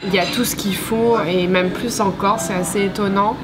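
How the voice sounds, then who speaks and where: A young woman from Paris with a Parisian French accent, answering casually in an interview and pausing to find her words. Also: mid-range voice, fairly even intonation. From Paris, France. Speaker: trade student being interviewed. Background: loud background music.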